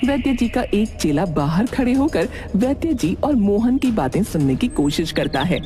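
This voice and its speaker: Woman narrating a story over loud music. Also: mid-range voice, big pitch swings, moderate pace.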